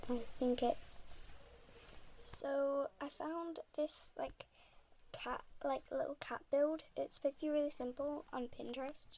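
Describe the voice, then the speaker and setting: A young woman, a bit sick, rambling softly and often half-whispering in a casual voice-over about building in a game. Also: sits high, slight background noise, poor audio.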